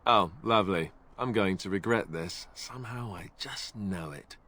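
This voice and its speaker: An actor playing a self-deprecating, good-natured young warrior in a video game, talking in a wry, joking, conversational way. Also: male voice, lowish voice, moderate pace, sharp tone. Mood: wry, resigned.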